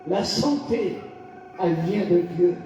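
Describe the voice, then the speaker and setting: A male pastor from France delivering a fiery revival sermon, shouting in bursts and calling for responses from the congregation. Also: high voice, takes it slowly. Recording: echoey hall, distant lo-fi mic.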